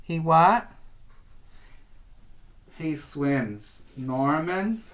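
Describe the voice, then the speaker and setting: A man's voice: fairly high pitch, lively intonation, extremely slow, fairly bright tone. A man teaching preschoolers, speaking in an instructive, question-and-answer way as he reads aloud and guides young children.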